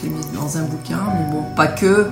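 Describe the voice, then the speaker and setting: A woman from Noirmoutier, a native patois speaker, answering a radio interviewer in a halting way with many hesitations. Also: low-pitched, gravelly. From Noirmoutier island, France. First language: patois of L'Épine. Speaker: author being interviewed. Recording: roomy radio interview with music behind.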